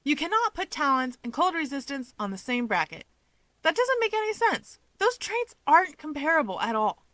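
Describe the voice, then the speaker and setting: A woman voicing an excitable, proud and huffy young winged male hero in a fan fiction audiobook, emphatic and argumentative. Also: sits high, moderate pace, dry recording, voice pushed up. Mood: indignant.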